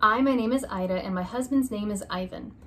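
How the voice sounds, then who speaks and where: A young woman reads a children's alphabet book aloud in a small room, taking it slow and deliberate. Her voice sits at an ordinary middle pitch, recorded close on a phone or laptop. A faint hum of room ambience runs underneath. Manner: slow, deliberate reading with stressed opening letters. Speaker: reader of a picture book. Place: small room indoors by a curtained window.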